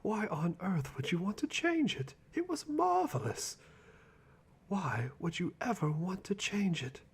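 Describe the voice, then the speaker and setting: A flamboyant cartoon villain sidekick in an ASMR roleplay. His husky, breathy voice swoops up and down dramatically, vain and petulant with a childish enthusiasm. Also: high-pitched, sing-song, measured pace, heavily acted. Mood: indignant, defensive.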